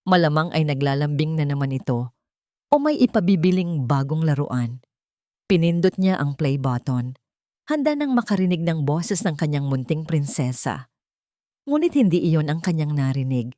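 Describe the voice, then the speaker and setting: A woman's voice: low-pitched, very animated, moderate pace, dark tone, no echo, clean studio sound. A woman narrating a scripted story in a dramatic style.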